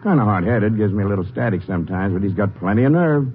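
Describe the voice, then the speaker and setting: A folksy, drawling county sheriff, as an actor plays him in an old radio play, his pitch rising and falling in lively swings. The sound is thin and phone-like, with a faint hiss under it. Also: fast talker, natural voice. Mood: defensive. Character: easygoing middle-aged male county sheriff. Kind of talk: 1950s radio drama acting.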